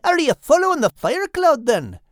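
A man's voice: very high voice, wildly swooping pitch, normal speed, dry recording, studio quality, pitched above the performer's own voice, full character voice. A man voicing a chirpy, courteous, helpful seagull with a Scottish accent in an audiobook. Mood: friendly, eager. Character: chipper, helpful male Scottish seagull, fond husband. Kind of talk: audiobook character voice.

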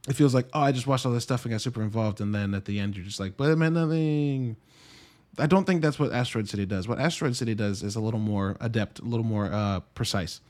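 Man, podcast movie reviewer, medium pitch, casual, conversational, wry, self-interrupting, dry asides. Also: quick pace, a little mellow.

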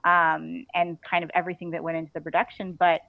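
A woman's voice: lowish voice, flat delivery, fast, gravelly, dry recording. Female author interviewee, casual and effusive, conversational delivery, dry close sound with limited bandwidth. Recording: band-limited, slightly dull audio.

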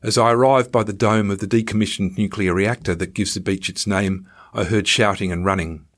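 A man narrates a written travel story in a low voice. His delivery is measured, like an author reading his own memoir, with a dry, ironic edge. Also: fairly even intonation.

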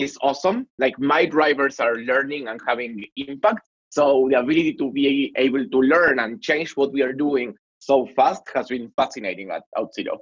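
Chatty Argentine man, husky voice. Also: medium pitch, lo-fi sound. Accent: Argentine Spanish. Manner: chatty, animated, with fillers like "like". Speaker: guest, marketing executive. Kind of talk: casual interview talk.

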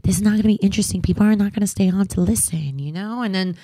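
A woman co-hosting a podcast in casual chat, playful and quick to laugh. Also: mid-range voice, mellow, dry recording. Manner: laughs often, playful and chatty. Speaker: podcast co-host.

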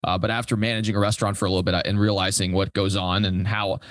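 A young adult man answers interview questions in a casual, conversational way. His voice sits fairly low and moves along quickly. He is a podcast guest talking informally. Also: level tone, a bit bright, no room sound. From Europe, born in Italy and raised there and in Germany until fourteen. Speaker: podcast guest, commercial real estate broker.

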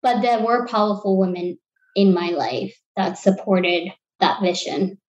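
A woman's voice: medium pitch, animated, a little slow, darkish tone. A woman in her thirties, raised in Sri Lanka, answers interview questions at a reflective, searching pace. She restarts her sentences as she works out what to say. Her voice comes through slightly dull and lo-fi. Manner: thoughtful, searching for words with restarts. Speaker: interview guest.

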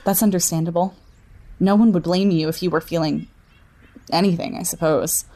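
A woman's voice: lowish voice, fairly even intonation, normal speed, mellow. A woman acting in an audio drama as an anxious, reserved figure who chooses words carefully and a little formally. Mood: careful concern. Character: anxious, reserved woman waiting for her team. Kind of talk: naturalistic fiction podcast acting.

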